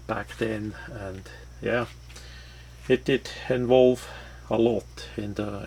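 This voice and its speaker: Man, fairly low pitch, unhurried, halting delivery, casual reviewer explaining features. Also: gravelly, darkish tone. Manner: halting, non-native English phrasing. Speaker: product reviewer. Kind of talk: casual unscripted product walkthrough.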